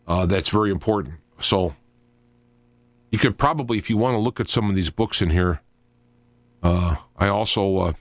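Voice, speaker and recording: A middle-aged man with a fairly low voice hosts a talk radio show on his own. He speaks informally and haltingly, drifting between thoughts as he works them out aloud. The sound is close and dry, with little room to it. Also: no room sound. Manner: halting, digressive, thinking aloud.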